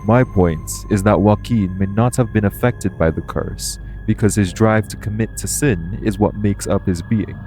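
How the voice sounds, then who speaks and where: A man playing a calm, protective guardian angel in an audio roleplay, speaking in a formal, measured, earnest way. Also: lowish voice, music in the background, lightly acted. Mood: solemn, explaining. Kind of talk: audio roleplay acting, addressed to the listener.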